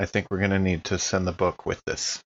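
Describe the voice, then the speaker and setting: A man's voice: low-pitched, monotone, fairly quick, muffled recording. A man playing in a tabletop game podcast, talking casually in and out of character.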